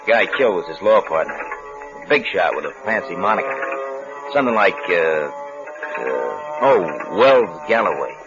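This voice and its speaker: A folksy, talkative working stiff in an old radio play. His fairly low voice swings up and down a lot as he chats, on a dull vintage recording. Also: very animated, measured pace, over loud music, exaggerated voice. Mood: gossipy, easygoing. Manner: folksy, colloquial small talk. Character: chatty, folksy working man, trucker or counterman. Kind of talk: 1950s radio drama acting.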